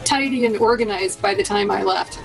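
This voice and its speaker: A woman's voice: mid-range voice, a little fast, vocal fry, faint music behind, rough recording. A middle-aged American woman casually reminiscing in an interview. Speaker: interviewee, former student co-op member.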